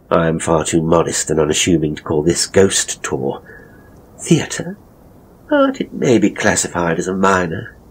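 Man playing a deep, theatrical ghost tour guide. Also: slightly rough, full character voice, rough recording. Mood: sly, theatrical. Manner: theatrical, pompous, drawn-out suspenseful phrasing. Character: theatrical, self-important male ghost tour guide spinning gruesome tales. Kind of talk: dramatic horror storytelling.

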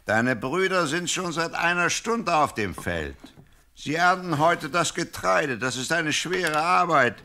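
Radio-play father, gruff yet fond, forceful and creaky, theatrical delivery. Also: on the high side, animated, a little slow, a little hiss or hum, exaggerated voice. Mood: patient, firm. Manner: scolding yet affectionate, theatrical. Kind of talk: audio play acting.

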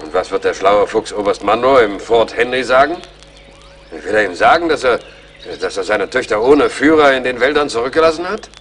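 An actor playing a courteous, earnest English army major in a radio play, speaking in a formal manner. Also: male voice, medium pitch, fairly quick, a bit bright, a little hiss or hum, lightly acted. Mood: persuading. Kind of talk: German radio play acting.

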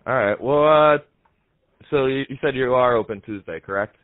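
A man's voice: mid-range voice, moderate pace, no room sound. A young man improvising a prank phone call in an earnest, rambling manner. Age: young adult. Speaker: prank caller on a comedy show.

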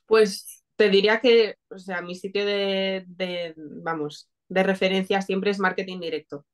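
A woman's voice: medium pitch, steady tone, a little slow. A woman in her twenties with a Spain Spanish accent, chatting informally as an interview guest on a video call. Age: 20s. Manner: informal, conversational. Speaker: interview guest, marketing specialist. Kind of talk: casual chat. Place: small home room, indoors.

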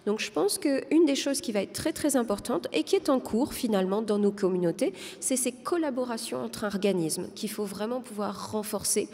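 A woman's voice: a little high, expressive, moderate pace, few pauses, a little mellow. Persuasive woman panelist in a large hall. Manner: articulate, persuasive, frequent hesitations. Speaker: debate panelist. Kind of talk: televised panel debate. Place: large studio hall with audience. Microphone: desk microphone. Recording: long reverb of a large hall.

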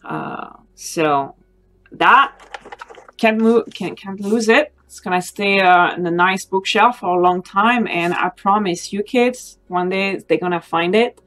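A woman's voice: medium pitch, unhurried, fairly bright tone. A woman in her thirties or forties with a French accent, a native French speaker, talking informally and earnestly to camera as a wedding photographer giving advice. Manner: conversational, earnest, non-native English phrasing. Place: small home office, indoors.

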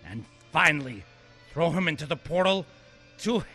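A man's voice: fairly high pitch, expressive, normal speed, bright, forceful tone, slightly rough, faint music behind, full character voice. A man playing a gleeful, vengeful maniac supervillain in an amateur radio play, ranting theatrically and menacingly. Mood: scheming, manic.

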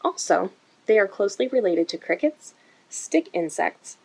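Slightly husky woman reading a children's book. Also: mid-range voice. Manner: expressive, deliberate, addressing young children. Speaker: children's story-time reader for a museum. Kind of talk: read-aloud of a children's picture book. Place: indoor room.

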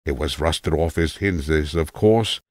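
A man's voice: rumbling low, slow pace, no room sound, clean studio sound, exaggerated voice. A man voicing an eccentric, opinionated old tramp telling a rambling tale in an emphatic, self-assured way, as an audiobook character. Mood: reminiscing, animated. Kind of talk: acted audiobook character monologue.

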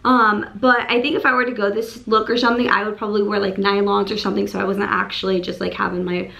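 A woman in her thirties with a hoarse voice, chatting casually to camera in her bedroom as she unboxes and shows off dresses, gushing and full of fillers. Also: mid-range voice, little pitch movement, fast talker, fairly bright tone. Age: 30s. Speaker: beauty and fashion YouTuber. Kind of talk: casual try-on haul chat. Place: bedroom indoors.

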